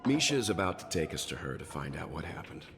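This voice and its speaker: Very deep, serious man. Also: sing-song, quick pace, creaky, noisy background, heavily acted. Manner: matter-of-fact, serious. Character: serious, composed, analytical adult man, dry and steady. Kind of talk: video game character acting.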